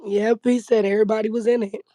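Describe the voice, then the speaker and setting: Woman guest, hoarse and fading voice, mellow and dry. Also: a little high, level tone, fast, no room sound. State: voice going hoarse. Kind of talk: casual livestream chat.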